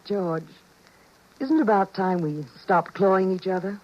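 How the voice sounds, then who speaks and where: A woman playing an affectionate, coyly knowing suburban wife in a 1950s radio drama, with gentle, coaxing phrasing. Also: mid-range voice, lively intonation, measured pace. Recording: dull, muffled 1950s radio recording.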